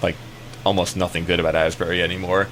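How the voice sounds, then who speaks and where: A man's voice: deep voice, little pitch movement, fast, noisy background. An American man hosting a radio show with casual, comic banter.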